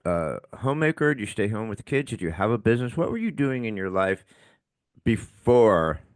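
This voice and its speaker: A man hosting a podcast, asking quick follow-up questions of his guest. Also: lowish voice, very animated, dry recording, studio quality. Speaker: podcast host. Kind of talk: interview questions.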